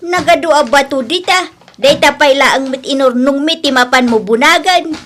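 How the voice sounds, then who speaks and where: A woman's voice: high voice, moderate pace, fairly bright tone, a little hiss or hum. A woman playing an indignant village auntie who scolds and protests to guard her things, in a comedic radio drama. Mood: questioning, firm.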